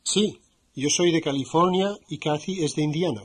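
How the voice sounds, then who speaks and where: A man playing a modest American sergeant who speaks Spanish in a language-course dialogue, slowly and clearly for learners. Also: fairly high pitch. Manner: slow and clearly spoken for learners.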